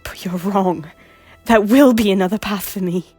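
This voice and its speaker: A woman playing a remorseful young noblewoman in an audio drama, earnest and often speaking in a hushed voice. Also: mid-range voice, moderate pace, soft background music, natural voice. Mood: defiant.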